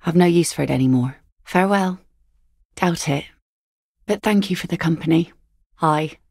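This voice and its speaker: A woman voicing an easygoing, philosophical seafaring mother in a video game, with a wry, gently teasing, folksy manner. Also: low-pitched, normal speed, husky, no room sound, clean studio sound. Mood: warm, resigned. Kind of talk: naturalistic video game dialogue acting.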